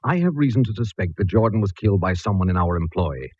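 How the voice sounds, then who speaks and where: An actor playing a nervous, stuffy store executive, hesitant and formal, in 1940s radio drama style on a vintage recording. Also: male voice, fairly low pitch, animated, quick pace, a little mellow, husky, no echo, lo-fi sound. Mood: worried, guarded.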